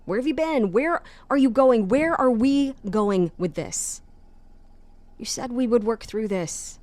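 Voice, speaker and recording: A woman's voice: fairly high pitch, lively intonation, unhurried, a little mellow, no room sound. An actress playing a frustrated girlfriend leaving a phone message in an audio drama. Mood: hurt, frustrated.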